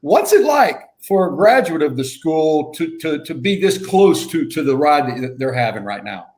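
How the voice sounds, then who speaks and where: A man's voice: a little high, very animated, moderate pace, a little mellow. A man casually interviewing as a sports show host, informal and upbeat.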